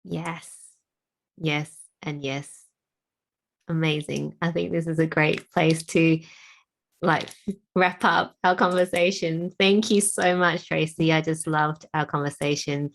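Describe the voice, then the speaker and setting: A woman in her late thirties hosting a podcast, soft-spoken and affirming, with hesitant fillers. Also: sits low, fairly even intonation, takes it slowly, gravelly, a little hiss or hum. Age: 30s. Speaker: podcast host. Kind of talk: casual interview chat.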